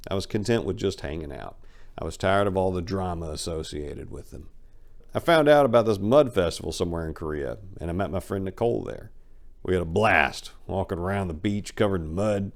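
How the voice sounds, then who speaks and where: A man narrating a first-person memoir as a dramatic reading, with an expressive storytelling delivery and emphatic swings. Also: mid-range voice, gravelly. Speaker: audiobook narrator.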